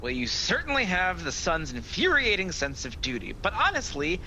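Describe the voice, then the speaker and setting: An actor playing a sly, proud devil who taunts and tempts in a sardonic, theatrical, persuasive manner in a radio play. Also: male voice, sits high, wildly swooping pitch, normal speed, pushed and bright, heavily acted. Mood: boastful, challenging. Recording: noisy home video-call recording.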